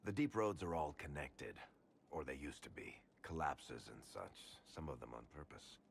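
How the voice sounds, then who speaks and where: An actor playing a wry, weary dwarf rogue in a video game, with a gravelly voice and an offhand delivery. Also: male voice, extremely low pitch, big pitch swings, normal speed, a little hiss or hum, exaggerated voice. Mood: guarded. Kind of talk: video game dialogue acting.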